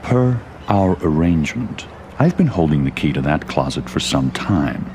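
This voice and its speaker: An actor playing a calm political fixer who speaks politely with veiled threat. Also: male voice, extremely low pitch, normal speed, noisy background, full character voice. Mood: quiet menace. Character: calm, threatening male political power broker.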